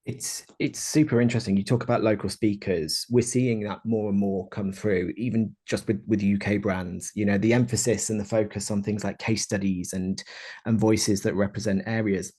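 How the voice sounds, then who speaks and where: Musing man with a lowish voice. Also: steady tone, normal speed, dark tone. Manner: musing, builds points through examples. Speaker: panellist. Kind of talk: panel discussion.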